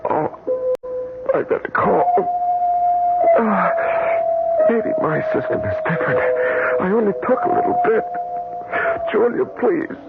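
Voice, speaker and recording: An actor playing a sick, grieving widower in agony, halting and groaning, in old-time radio drama style. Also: male voice, high voice, lively intonation, gravelly, exaggerated voice. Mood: agonized, pleading. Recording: tinny old radio broadcast with loud music.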